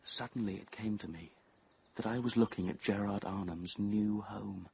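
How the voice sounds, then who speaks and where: An actor playing a brooding, obsessive young man who narrates his confession in measured, intense tones, at times near a whisper. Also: lowish voice, fairly even intonation, creaky, a little mellow, a little hiss or hum. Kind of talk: radio drama first-person narration.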